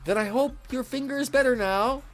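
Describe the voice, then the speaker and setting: A gentle, well-mannered little girl from a storybook, lightly put on by a man reading aloud, a few notes above his own voice and quietly hesitant. She sounds curious and polite. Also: very high voice, moderate pace, soft background music, pitched above the performer's own voice.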